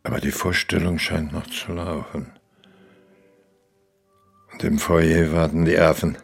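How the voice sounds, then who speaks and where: Old man in his eighties, former opera star, husky, slow, theatrical, brooding, radio drama. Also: extremely low pitch, flat delivery, faint music behind, heavily acted. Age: 80s. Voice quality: gravelly, husky, breathy. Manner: theatrical, declamatory, muttering to himself. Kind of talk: radio play acting.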